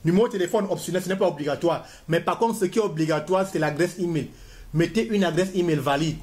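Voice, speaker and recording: A man giving a step-by-step tutorial, repeating key points as he fills in an online form. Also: fairly high pitch. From Cameroon. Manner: talks viewers step by step through a form, repeating himself. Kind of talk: screen-recorded walkthrough.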